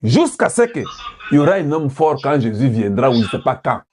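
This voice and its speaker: A man's voice: mid-range voice, sing-song, fairly quick, darkish tone, slight background noise. A man from Congo giving political commentary, putting questions to his viewers and switching into Lingala at times. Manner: rhetorical, asks the audience questions, mixes in Lingala.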